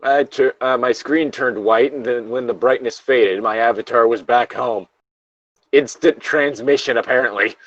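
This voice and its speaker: A man's voice: mid-range voice, normal speed, dry recording. Man reading aloud, slightly muffled, dry sound. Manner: jokey, swears casually. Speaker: co-host reading internet horror stories aloud. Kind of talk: casual story read-along with joking asides.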